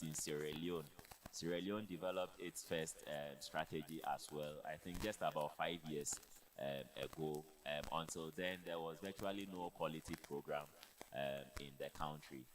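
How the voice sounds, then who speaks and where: A man with a Ghanaian accent giving measured, formal remarks as a panelist, pausing often as he speaks. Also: a bass voice, low-quality recording. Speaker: conference panelist, health quality expert.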